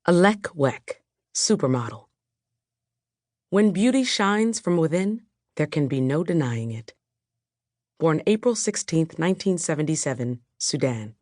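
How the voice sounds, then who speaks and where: A woman reads a storybook aloud in a fairly low voice. She tells it in a measured, clear manner, as scripted audiobook narration. The recording is very dry, with no room sound. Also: animated, a little mellow, dry recording.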